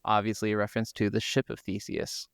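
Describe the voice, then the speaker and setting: A man's voice: on the low side, completely flat delivery, fast, vocal fry, clean tone, dry recording, clean studio sound. A man co-hosting a podcast, chatting casually about games with some offhand swearing. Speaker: podcast co-host. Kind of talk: casual gaming podcast chat.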